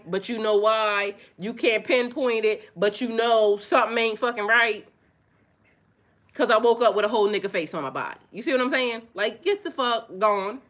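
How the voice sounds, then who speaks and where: A loud, animated woman leads her own spiritual reading vlog. She talks directly to her viewers, swears freely, and breaks into laughter often. Her voice sits at an ordinary middle pitch. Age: adult with children. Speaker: spiritual reader and channel host.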